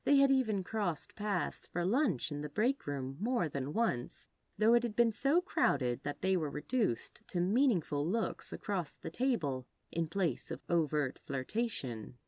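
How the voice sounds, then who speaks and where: Low-voiced, expressive woman narrator. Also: unhurried, hardly any pauses, no echo, studio quality. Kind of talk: expressive scripted fiction narration.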